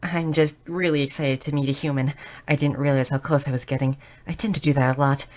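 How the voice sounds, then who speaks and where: Casting: a woman as a bubbly, bookish goblin girl for audio roleplay, low-pitched, inquisitive, with a slight nervous stammer. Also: fairly even intonation, brisk, a little mellow, close to an everyday voice. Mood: excited, flustered. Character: shy, bubbly, bookish young goblin girl, eager and curious. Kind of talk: scripted audio roleplay addressed to the listener.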